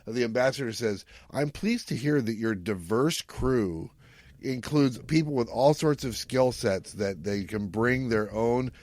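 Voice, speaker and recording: Man, podcast host, medium pitch, informal and playful, chatty reviewing, very little room sound. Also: darkish tone, no room sound. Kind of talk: casual chat recapping and reviewing an audio story.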